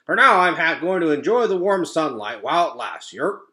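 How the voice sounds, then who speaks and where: A croaky storybook frog, played by a man reading aloud, pitched high and loud. The talk is folksy and easygoing, with a slow country manner. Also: big pitch swings, roomy sound. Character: two croaky frogs chatting folksily about hibernation.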